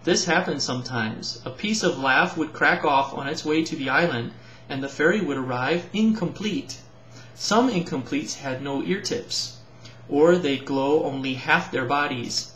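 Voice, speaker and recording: A man's voice: a little high, a little slow, fairly bright tone, slight background noise. A man narrating a children's storybook aloud, as a parent reading to children. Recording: roomy echo, slightly dull home recording.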